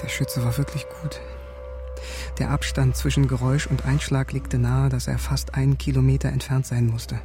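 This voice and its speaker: A man's voice: medium pitch, level tone, moderate pace, warm tone, soft background music, natural voice. An actor playing a detached army sniper who recounts his kills in a matter-of-fact, clinical way, as radio drama narration. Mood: cold, detached. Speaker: narrator and main character.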